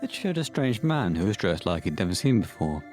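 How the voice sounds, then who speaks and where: A man's voice: lowish voice, sing-song, brisk, a little mellow, soft background music. A man reading scripted narration as the storyteller of an audio horror play.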